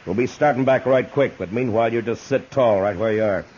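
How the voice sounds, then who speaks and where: A man's voice: mid-range voice, a little fast, darkish tone, lightly acted. An actor playing a terse, resolute American lawman in a 1950s radio western. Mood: stern. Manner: terse, commanding. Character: stern, resolute frontier US marshal, grown man. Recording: muffled, noisy 1950s radio recording.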